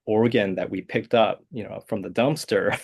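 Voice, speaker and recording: A man, a composer answering interview questions in a soft, hesitant way with many fillers. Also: on the low side, normal speed, creaky, husky. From South Korea. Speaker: guest composer.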